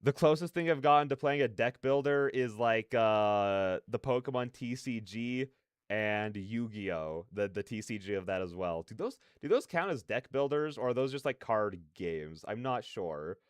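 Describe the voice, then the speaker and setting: Man, livestream host, clear smooth voice, close dry sound, casual rambling chat, slangy and animated. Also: on the low side, moderate pace, no room sound.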